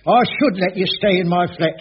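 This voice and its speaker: A man's voice: sits high, a little fast, husky. A man playing a bumbling, flustered older caretaker who stammers and laughs nervously, in a voice. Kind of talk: 1980s radio sitcom acting. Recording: dull old radio recording with hiss.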